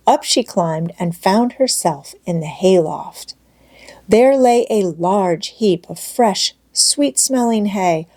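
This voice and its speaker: A woman reading a children's classic aloud as scripted storybook narration, in a measured, expressive manner. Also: on the low side, vocal fry. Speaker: audiobook narrator.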